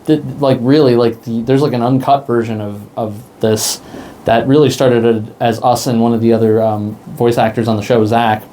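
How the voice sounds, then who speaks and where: A man explaining things casually as an interview guest, in a halting, rambling way. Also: mid-range voice, steady tone, mellow, a little hiss or hum. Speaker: interview guest, podcast creator. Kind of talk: casual conversation.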